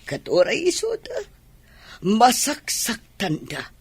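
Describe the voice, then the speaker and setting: Woman acting an admonishing mother, husky, slow pace, expressive pitch, radio drama. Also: dry recording, heavily acted. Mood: gently reproachful.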